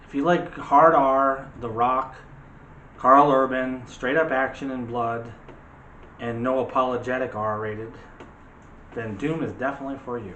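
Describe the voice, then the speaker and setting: A man's voice: mid-range voice, fairly even intonation, measured pace, sharp tone, lo-fi sound. Guy cohosting a movie chat, jokey and casual, echoey room w some faint noise. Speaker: co-host of a movie discussion show. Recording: roomy echo with light background noise.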